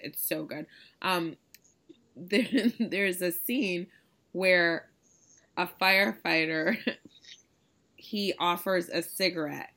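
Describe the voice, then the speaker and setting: A woman hosting a podcast in casual, chatty conversation, her voice raspy and hoarse from a recent illness. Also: on the low side, pushed and bright. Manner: chatty, laughing easily, enthusiastic. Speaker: podcast host. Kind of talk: casual chat.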